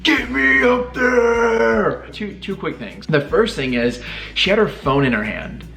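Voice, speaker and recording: A young man telling a personal story in a casual chat with his wife, animated and self-deprecating, often laughing at himself. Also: sits high, a little slow, vocal fry, faint music behind. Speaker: vlogger telling proposal story.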